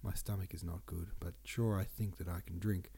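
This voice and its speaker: A man's voice: low voice, moderate pace, breathy edge. A podcast host, a man, reading old poems aloud and chatting about them, sniffly and congested. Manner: reads verse aloud with relaxed asides. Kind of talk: casual poetry reading and commentary.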